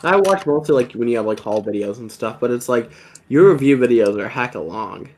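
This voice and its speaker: A young man with a slight lisp, chatting casually as a video host, self-deprecating and trailing off quietly at the ends of his phrases. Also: medium pitch, lively intonation, a little fast. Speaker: YouTube Lego channel host. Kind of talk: casual chat.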